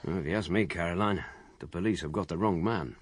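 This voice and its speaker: A man playing a dry, sceptical English radio crime reporter in a radio drama, speaking in a measured way. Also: low-pitched, soft background music, lightly acted. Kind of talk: radio drama acting, news report delivery.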